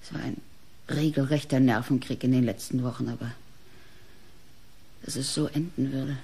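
An artist's wife in a radio crime drama, proud and embittered. She defends herself indignantly in a husky voice. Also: rumbling low, steady tone, moderate pace, warm tone, full character voice. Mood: defensive. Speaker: actress in a radio crime play. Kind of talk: German radio play acting.

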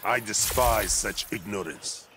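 An actor playing an imperious, menacing godlike supervillain in a video game, pompous, commanding and disdainful. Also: male voice, on the low side, takes it slowly, over loud music. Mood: haughty disdain. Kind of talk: video game character acting. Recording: loud game ambience and effects behind.

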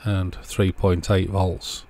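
A man narrating a hands-on electronics demonstration as host, explaining step by step in a measured way. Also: low voice, level tone, warm tone. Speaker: host of an electronics repair channel.

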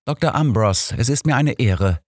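A man's voice: medium pitch, expressive, moderate pace, a little mellow, breathy edge, dry recording, clean studio sound, voice pushed up. A man voicing an older, earnest physician companion in an audiobook, formal and learned. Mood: respectful. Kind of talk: audiobook character dialogue.

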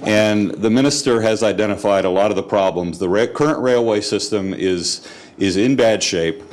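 An American man in his sixties speaking measured panel remarks into a handheld mic in a conference hall, with dry asides and some restarts. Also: on the low side, darkish tone. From United States.

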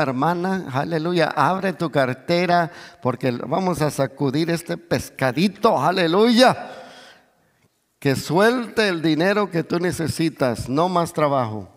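A middle-aged man preaching in Spanish, with as his language, who peppers his sermon with hallelujahs, calls for amen, and swells toward near shouts. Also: a little high. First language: English. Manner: moves about and gestures on stage, voice swells to near shouts. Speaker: pastor. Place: large church stage. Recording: long reverb of a big church hall.

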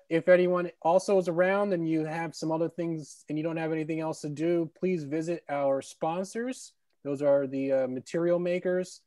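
A man's voice: fairly high pitch, mellow, no echo. A man moderating a conference session over a video call, friendly and full of fillers, talking on an earphone mic at home. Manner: friendly, announcing, many fillers. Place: home room with bookshelves.

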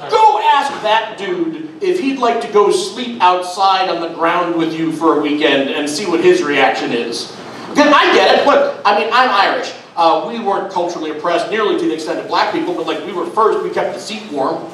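A man's voice: high-pitched, normal speed, slight background noise. Middle-aged man doing sardonic stand-up. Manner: sardonic, deadpan asides with many "uh" fillers. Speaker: stand-up comedian. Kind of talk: stand-up comedy set. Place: small dark comedy club stage with audience. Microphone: handheld mic, filmed from the back of the room. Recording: echoey club audio from far off. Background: audience laughter.

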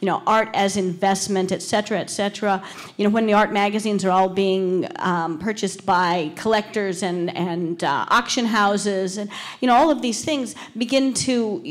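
A woman's voice: medium pitch, steady tone, moderate pace, hardly any pauses. An older woman speaking as a panelist in a conversational, discursive way, often saying "you know". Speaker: panelist, art critic. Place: indoor panel event in a plain gallery room. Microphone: handheld microphone.

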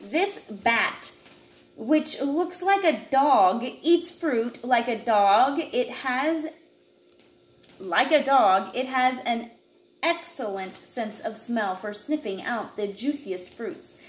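A woman in her thirties reading a children's nature book aloud at home in an expressive way, with asides to young listeners. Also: a little high, takes it slowly. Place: indoors, open living room and kitchen at home. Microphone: camera a short distance away. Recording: echoey room with clear background noise.

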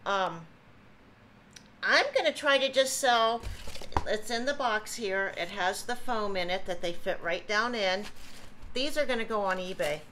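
A woman's voice: medium pitch, a little slow, sharp tone, slight background noise. A woman in her fifties, chatty, explaining items as she unpacks a pallet at a home table. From Ohio, USA. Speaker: reseller vlogger. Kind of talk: casual unboxing chat. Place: home room with table, indoors.

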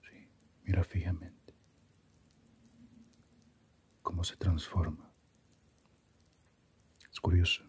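Casting: male performer as calm, philosophical Death for ASMR roleplay; very low, husky, breathy half-whisper, gentle and musing. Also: heavily acted. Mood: hushed, hypnotic. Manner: unhurried, musing, asks reflective questions.

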